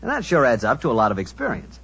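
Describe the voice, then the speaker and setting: An American man announcing and pitching for a sponsor in 1940s radio style, chummy and salesmanlike. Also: huge pitch swings, fast talker, gravelly, a bit bright, husky. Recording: muffled old-time radio recording.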